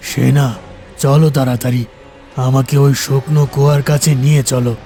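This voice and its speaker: A man's voice: medium pitch, level tone, normal speed, warm tone, soft background music, close to an everyday voice. A man voicing a stern, anxious king in a fairy-tale cartoon, with a commanding, dramatic delivery. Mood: alarmed.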